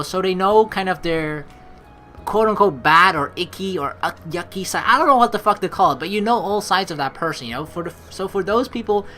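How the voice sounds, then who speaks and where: A woman plays through a game aloud with a bright, forceful voice. Her pitch swings widely as she playfully narrates and rambles, with faint music underneath. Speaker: let's play host. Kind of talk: casual game playthrough commentary mixed with reading narration aloud. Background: game background music.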